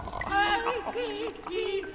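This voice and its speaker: A woman's voice: very high-pitched, steady tone, extremely slow, a bit bright, heavily acted. A woman playing a tipsy, silly aunt in a comedy radio play, drawling woozily. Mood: woozy, giggly. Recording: roomy, long reverb.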